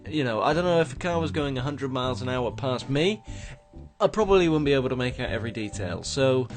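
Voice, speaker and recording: Croaky man rambling over music. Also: mid-range voice, animated, a little fast. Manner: rambling, hesitant, many restarts. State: slightly croaky from just waking up. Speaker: gaming show host. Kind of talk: casual commentary on game screenshots.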